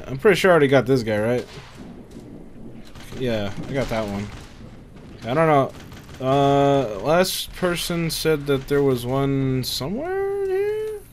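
A man comments casually on a game as he plays. His medium-pitched voice swings widely up and down as he mutters informally to himself, with faint battle noise behind him. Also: big pitch swings, a little slow, long pauses. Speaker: gamer playing and commenting. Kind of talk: casual let's-play commentary. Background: game gunfire and battle ambience.

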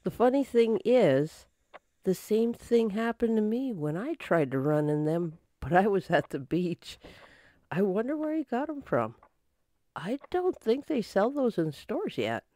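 A woman's voice: mid-range voice, sing-song, measured pace, warm tone, dry recording. A woman playing a chatty secretary at a detective's office, in old time radio drama style. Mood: amused.

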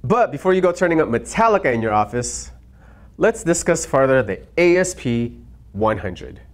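A man's voice: medium pitch, sing-song, normal speed. A man in his thirties hosting an upbeat product presentation to camera as a show host.

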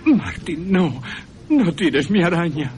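A man's voice: a little high, sing-song, takes it slowly, a little mellow, faint music behind, pitched above the performer's own voice. A man voicing a frantic asylum madman, begging and whining, in a radio drama. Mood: desperate. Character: pleading, frantic madman patient who hoards bugs.

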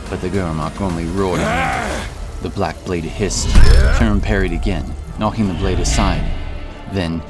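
Very deep male fantasy narrator. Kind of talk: scripted dramatic fantasy narration. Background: prominent music.

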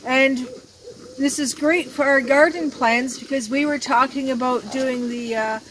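A woman's voice: high voice, fairly even intonation, unhurried, fairly bright tone, a little hiss or hum, poor audio. An older woman co-hosting a casual vlog, agreeable and a bit hesitant as she chats. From Canada. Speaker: channel co-host. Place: outdoors at a night campfire in the woods.

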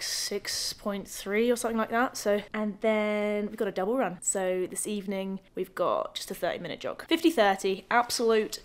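A young woman in her twenties, chatty and upbeat, vlogging to a handheld camera at arm's length and laughing easily. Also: medium pitch. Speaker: vlogger and runner. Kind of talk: casual vlog to camera. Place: outdoors in snowy streets and indoors at home.

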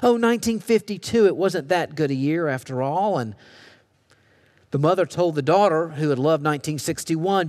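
An American man delivers a sermon in a fairly high voice. His words ring out with the long reverb of a big church space. He tells stories in a conversational way, slipping into the lines of the people he describes. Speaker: pastor preaching. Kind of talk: sermon with storytelling. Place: church sanctuary. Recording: long reverberation of a large hall.

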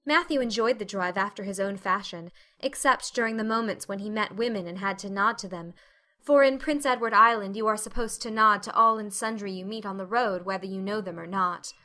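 A woman's voice: mid-range voice, fairly quick, pushed and bright. A woman reading a classic novel aloud as an audiobook narrator, in a scripted, dramatic storytelling style.

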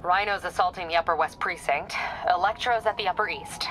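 Actress as a low-voiced, no-nonsense police captain. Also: female voice, brisk, slight background noise, close to an everyday voice, rough recording. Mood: urgent. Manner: terse, dry, businesslike. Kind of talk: video game voice acting. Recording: loud music over the voice. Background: loud game music.

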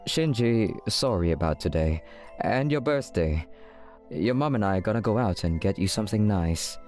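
A man's voice: on the low side, lively intonation, moderate pace, darkish tone, soft background music, close to an everyday voice. A man voicing a stern, controlled, businesslike father of a teenager in a manga dub. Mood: apologetic. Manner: formal, controlled.

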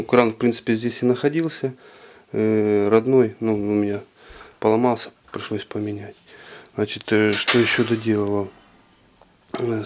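A man giving an unscripted walkthrough of his home brewing equipment, talking haltingly with many filler sounds, recorded indoors on a handheld camera. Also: medium pitch, slight background noise. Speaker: home brewer. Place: indoor room with carpet.

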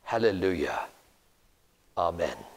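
Slow gravelly older preacher. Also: male voice, low-pitched, big pitch swings. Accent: British English. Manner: slow, measured, earnest preaching. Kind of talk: sermon. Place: church sanctuary, indoors. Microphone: lapel mic. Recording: long church reverb.

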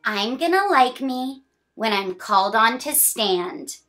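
Bright-voiced woman reading to children, unhurried. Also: on the high side. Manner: expressive, encouraging, sing-song reading; giggly, smiling delivery. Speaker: children's read-aloud host. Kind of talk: reading a picture book aloud to children, with chatty asides. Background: music.

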